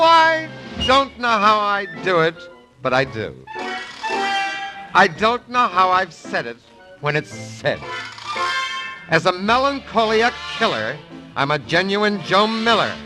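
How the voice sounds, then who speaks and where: A man's voice: high-pitched, exaggerated voice, low-quality recording. A man playing a vain, wisecracking middle-aged father in a 1940s radio operetta, with self-satisfied joking patter. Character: boastful wisecracking long-absent father, breezy vain clown. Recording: vintage 1940s radio broadcast, music behind.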